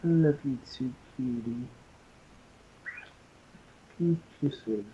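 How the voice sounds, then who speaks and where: A man playing an affectionate, tender boyfriend in an amateur ASMR roleplay, speaking haltingly. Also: medium pitch, close to an everyday voice. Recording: muffled, hissy lo-fi playback.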